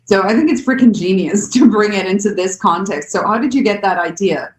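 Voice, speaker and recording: A woman's voice: medium pitch, fairly quick, darkish tone. Finnish woman co-host, friendly, laughy, roomy echo, faint background hum. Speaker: podcast co-host. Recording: echoey room, faint ambience.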